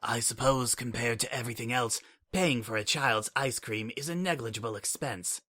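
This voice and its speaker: A man's voice: mid-range voice, moderate pace, sharp tone, dry recording. A man doing a dry, scheming changeling broker. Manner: dry, formal, speaks of itself in third person. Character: calculating adult changeling information broker, dry.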